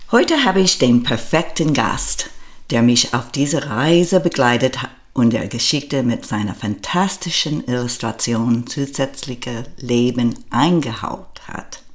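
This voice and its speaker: An older American woman with an American accent, a native English speaker, hosting a podcast in a measured, scripted monologue. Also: very deep voice. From United States. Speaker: podcast host.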